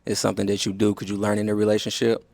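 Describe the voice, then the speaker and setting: A man's voice: lowish voice, completely flat delivery, rapid-fire, vocal fry, a little mellow, no room sound. A man in his twenties, a dating show contestant, talking earnestly with some hesitation. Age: 20s.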